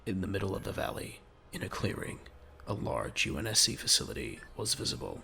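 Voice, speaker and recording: A man narrating a scripted audio drama in a measured, dramatic, gravelly voice. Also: deep voice, big pitch swings, pushed and bright.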